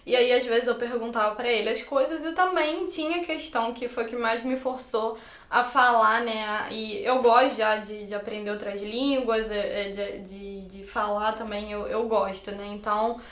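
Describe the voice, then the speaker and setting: Chatty Brazilian woman, high voice. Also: steady tone, normal speed, fairly bright tone, reverberant. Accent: Brazilian Portuguese. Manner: chatty, laughs often, trails off and restarts. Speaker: channel host. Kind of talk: casual chat.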